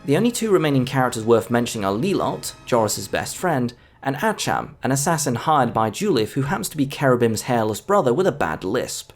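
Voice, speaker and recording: A man's voice: medium pitch, very animated, brisk, few pauses. A man narrating a scripted video essay as a film reviewer. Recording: music under the voice. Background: background music.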